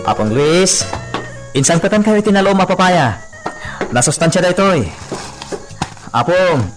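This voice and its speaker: An excitable young man in a rural radio romance, acted by a man. His delivery swings widely up and down in theatrical fashion, with music playing behind him. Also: high-pitched, moderate pace. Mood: urgent, distressed. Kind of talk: Ilocano radio drama acting.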